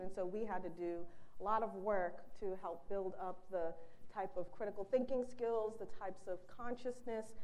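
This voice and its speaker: A middle-aged woman from Boston, with no Boston accent, giving a conference keynote in a direct, conversational way in a large bright room. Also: medium pitch, low-quality recording. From Boston, USA. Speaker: keynote speaker. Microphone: handheld mic, then lapel mic.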